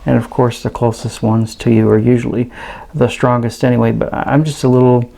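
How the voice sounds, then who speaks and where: A man's voice: medium pitch, flat delivery, a little fast, gravelly, dark tone. An American man giving a casual, unscripted product review, hesitant and thinking aloud as he goes. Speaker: radio reviewer.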